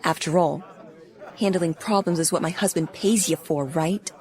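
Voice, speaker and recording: A woman's voice: on the low side, normal speed, darkish tone, some chatter behind, close to an everyday voice. Woman acting icy, cutting mob boss's wife. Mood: icy, pointed. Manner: pointed, condescending. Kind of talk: scripted audio drama dialogue.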